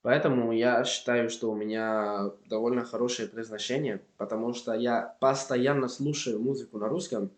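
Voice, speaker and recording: A man's voice: mid-range voice, fairly even intonation, normal speed, hardly any pauses. Young guy with a spanish accent, earnest learner vlog, mid voice, bit of room echo. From Spain. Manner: earnest, encouraging, learner's phrasing. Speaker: language learning vlogger. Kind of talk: casual vlog talk to camera.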